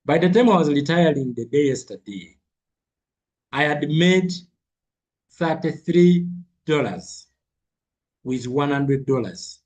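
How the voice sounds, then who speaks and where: A man's voice: a little high, animated, slow pace, long pauses, a little mellow, low-quality recording. A man with a cold teaching a live online trading lesson, repeating figures and phrases for emphasis. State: has a cold. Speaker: trading coach.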